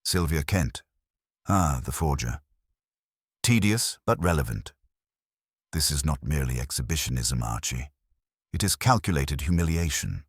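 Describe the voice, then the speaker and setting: A man voicing an imperious, disdainful genius detective with calm, precise, pompous phrasing in an audiobook. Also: a bass voice, a little slow, long pauses, husky, no room sound, studio quality, exaggerated voice. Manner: precise, pompous, formal wording.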